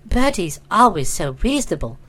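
A woman's voice: fairly low pitch, big pitch swings, moderate pace, fairly bright tone, pitched below the performer's own voice. A woman voicing a spirited, forthright upper-class English young woman in a storybook, emphatic and breezy. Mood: pleased.

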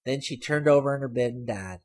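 A man's voice: mid-range voice, a little fast, pitched above the performer's own voice, natural voice. A man voicing a brash, teasing teenage girl in an audiobook, speaking bluntly and cockily. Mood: brazen. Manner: blunt, artless, cocky. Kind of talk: audiobook character voice.

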